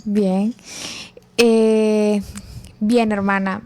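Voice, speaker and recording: Una joven adulta con acento salvadoreño presenta un programa cristiano y hace preguntas con cortesía, entre pausas y muletillas. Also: female voice, medium pitch, flat delivery, a little mellow, smooth. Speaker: host.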